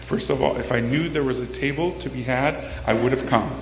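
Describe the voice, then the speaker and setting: Loud, emphatic man in an echoey hall. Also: medium pitch, a little fast. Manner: loud, emphatic, repeats words when starting sentences. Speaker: city council candidate answering audience questions. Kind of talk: public Q&A at a community meeting. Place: banquet room at a community meeting. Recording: echoey hall with faint hum.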